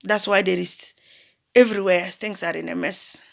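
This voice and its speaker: A woman's voice: on the low side, fairly even intonation, normal speed, gravelly. A woman from Sudan, delivering an impassioned public appeal in a preaching manner. She repeats phrases and rises to emphatic cries. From South Sudan.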